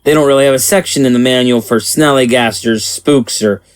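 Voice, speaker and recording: A man's voice: medium pitch, a little fast, smooth, dry recording, lightly acted. A man playing an earnest, protective small-town sheriff in an audio drama, with a folksy, plain-spoken manner. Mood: grave, earnest.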